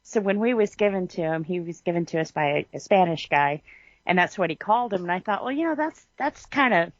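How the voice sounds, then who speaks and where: A woman chats informally as a podcast co-host, with a folksy way of talking. Her voice sits a bit low. The recording is dry and slightly lo-fi. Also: animated, brisk, no room sound. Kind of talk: casual chat.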